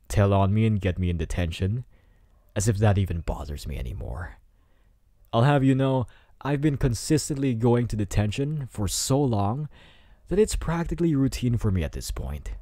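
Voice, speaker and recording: A man's voice: fairly low pitch, warm tone, no room sound, studio quality. A man playing a cocky, teasing teenage delinquent student in an ASMR roleplay, with a smug, taunting delivery.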